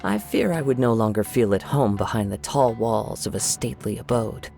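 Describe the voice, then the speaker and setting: A man playing a calm, courteous young wandering swordsman in a video game, gentle and reflective in formal wording. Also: medium pitch, moderate pace, vocal fry, faint music behind. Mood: reflective, reserved.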